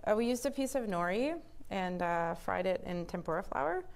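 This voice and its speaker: A woman's voice: mid-range voice, expressive, moderate pace, vocal fry, noisy background. A woman, casually explaining a dish as a guest chef. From Toronto, Canada. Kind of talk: casual cooking demonstration. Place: TV studio kitchen.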